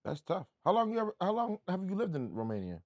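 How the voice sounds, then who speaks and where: A man's voice: high-pitched, wildly swooping pitch, fast talker, a little mellow, dry recording. A man hosting a podcast, chatting in a laid-back, slangy way and laughing often. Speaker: podcast host. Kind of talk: casual interview chat.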